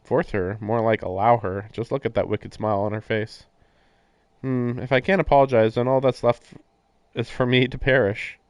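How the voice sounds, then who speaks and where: A man's voice: mid-range voice, fairly even intonation, normal speed, smooth, no room sound, dull audio. A man reading a visual novel's narration aloud on a stream, breaking into casual commentary.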